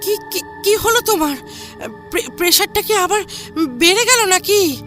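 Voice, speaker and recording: A woman as a high, bright-voiced worried wife. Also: lively intonation, moderate pace, faint music behind, heavily acted. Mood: alarmed, worried. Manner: anxious, concerned. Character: worried, practical middle-aged wife. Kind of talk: audio drama acting.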